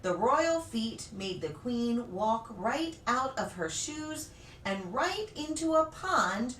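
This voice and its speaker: A woman reading a children's story aloud expressively, with deliberate phrasing and dramatic pauses. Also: on the high side, very animated, fairly bright tone. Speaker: pastor reading children's stories. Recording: roomy, distant mic with faint noise.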